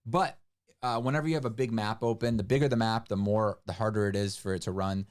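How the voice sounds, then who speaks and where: A man's voice: fairly low pitch, steady tone, fast talker. A man co-hosting a livestream, chatting casually and rambling with lots of fillers and restarts. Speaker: livestream co-host. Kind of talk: casual stream chat.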